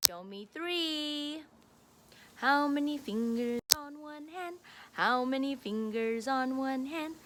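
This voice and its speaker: A woman's voice: high-pitched, a bit bright, slight background noise. A woman teacher reading aloud and leading counting for young children in a sing-song, encouraging, teacherly way. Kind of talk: animated children's read-aloud and counting lesson.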